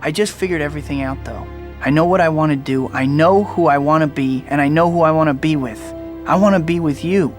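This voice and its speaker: Earnest, higher-voiced young superhero played by an actor. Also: male voice, a little fast, lightly acted. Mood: heartfelt. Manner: earnest, dry. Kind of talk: video game voice acting. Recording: prominent music behind the voice.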